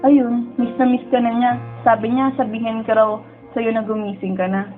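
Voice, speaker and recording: Radio drama lead: woman as lonely, dreamy young schoolgirl, earnest and emotional, higher voice, narrow telephone-like audio. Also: flat delivery, moderate pace, close to an everyday voice. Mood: concerned. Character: distraught young female student, sensitive, lonely, dreamy. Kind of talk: emotional first-person radio drama narration. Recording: muffled phone-like sound with music underneath.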